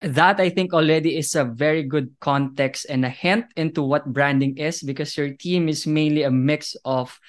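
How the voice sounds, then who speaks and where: A man's voice: on the high side, steady tone, moderate pace, few pauses. A man hosting a podcast interview, upbeat and enthusiastic, speaking in long, structured sentences that sound prepared. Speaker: podcast host.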